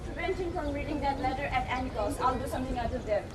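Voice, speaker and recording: A young woman in a school stage play acting a concerned, alarmed friend, excitable and urgent in delivery. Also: high voice, fast talker, over a noisy crowd, close to an everyday voice. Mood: urgent advice. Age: young adult. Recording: echoey room, far off, loud noise.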